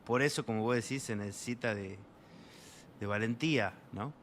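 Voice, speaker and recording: A young man from Argentina with an Argentine Spanish accent, an interview guest telling travel stories in a relaxed, rambling way full of fillers. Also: on the low side, moderate pace, slight background noise. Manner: rambling, many fillers, voices quoted people. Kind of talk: casual storytelling in an interview.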